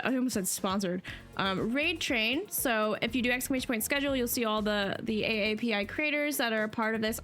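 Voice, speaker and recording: A woman's voice: high voice, sharp tone. A woman from New Jersey, a livestreamer chatting casually with her chat in a rambling, talkative way. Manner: chatty, rambling with fillers and laughs. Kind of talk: casual chat to a live stream chat.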